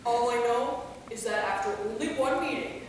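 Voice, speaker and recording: An actress playing a cheeky, teasing friend with theatrical delivery in a staged play reading. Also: female voice, on the high side, normal speed, natural voice. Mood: mock romantic. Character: Quinn, cheeky mocking sidekick who needles her friends. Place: theater hall, mic at a distance. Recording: hall echo, distant, faint noise.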